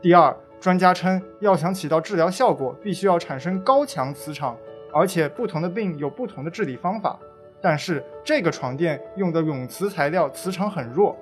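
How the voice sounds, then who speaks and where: A man plays an earnest, firm legal-awareness campaigner in a radio drama. His voice is a little high, and he lays out his points methodically over background music. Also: lightly acted. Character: earnest male legal-awareness volunteer exposing a scam. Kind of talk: amateur legal-education radio play.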